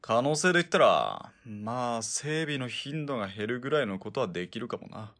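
A man playing a gruff, world-weary gunman in a Japanese radio drama, speaking bluntly and wryly in rough colloquial speech. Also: mid-range voice, big pitch swings, normal speed, fairly bright tone. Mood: grim, dry. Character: gruff, world-weary male sniper-team partner, wryly nagging.

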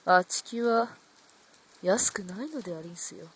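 A husky-voiced young courtesan, played by a woman, in a period radio drama. She speaks in antique pleasure-quarter style, proud and sharp-tongued. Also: fairly low pitch, measured pace, full character voice, rough recording. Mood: coy, composed. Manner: old-fashioned courtesan speech.

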